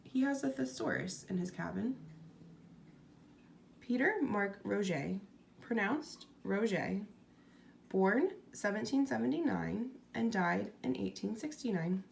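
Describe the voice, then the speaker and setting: A woman reading a children's storybook aloud as narrator, in an expressive scripted style. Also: mid-range voice, slow pace, gravelly, slight background noise.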